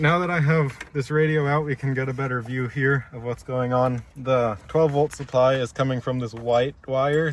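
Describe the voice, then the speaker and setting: Man explaining hands-on work, noisy car interior. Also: mid-range voice. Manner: methodical, explaining step by step while working. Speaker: DIY installer presenting his project. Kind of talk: casual how-to walkthrough. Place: inside a car. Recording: clear background noise inside a car.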